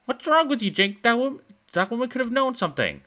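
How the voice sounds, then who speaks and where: A man voicing a young woman who is determined and quick to snap in exasperation. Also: sits high, huge pitch swings, husky, pitched above the performer's own voice, heavily acted. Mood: exasperated. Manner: sharp, exasperated, insistent.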